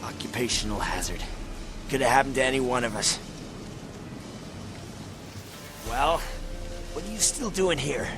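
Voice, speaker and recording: An actor playing an injured, unrepentant rogue monster hunter in a video game, dry and mocking. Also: male voice, medium pitch, very animated, brisk, over music. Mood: sardonic, nonchalant. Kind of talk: video game character acting.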